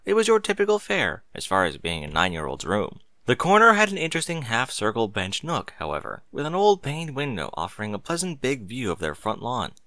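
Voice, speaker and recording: Casting: male audiobook narrator, expressive and lively, mid-pitched, dry close recording. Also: brisk, hardly any pauses, dry recording. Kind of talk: expressive scripted story narration.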